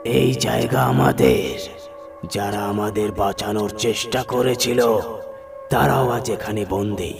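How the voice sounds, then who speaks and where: A mournful shadow spirit of dead miners, voiced by a male storyteller. It speaks softly and eerily, slightly above a low narrating pitch, with music behind, as in a spooky audio tale. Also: lively intonation, measured pace, creaky. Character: mournful ghost of dead male mine workers.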